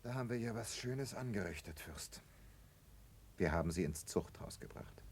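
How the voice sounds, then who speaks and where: Very deep, wry gentleman played by an actor. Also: expressive, moderate pace, close to an everyday voice. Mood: rueful. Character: rueful gentleman juror, wry and resigned. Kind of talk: 1960s German radio drama acting. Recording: old recording with faint low rumble.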